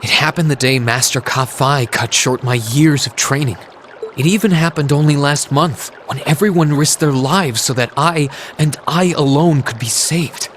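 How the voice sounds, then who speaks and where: A man's voice: fairly high pitch, normal speed, fairly bright tone, a little hiss or hum, natural voice. Man acting earnest young brother, water trickling behind. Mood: self-loathing, dejected. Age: young adult. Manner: sincere, reflective. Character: earnest, self-doubting teenage brother, a student. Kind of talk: video game cutscene voice acting. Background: trickling water ambience.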